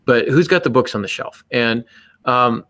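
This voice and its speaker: A man's voice: medium pitch, moderate pace, fairly bright tone, dry recording. A man co-hosting a podcast, speaking casually but emphatically and bluntly, acting out little exchanges as he gives advice. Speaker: podcast co-host and writer.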